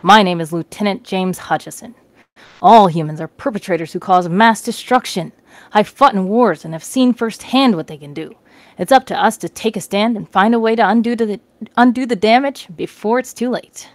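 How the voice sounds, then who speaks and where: A woman's voice: medium pitch, moderate pace, dry recording, natural voice. A woman voicing a stern, dutiful male military veteran in a read-aloud story. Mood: grave.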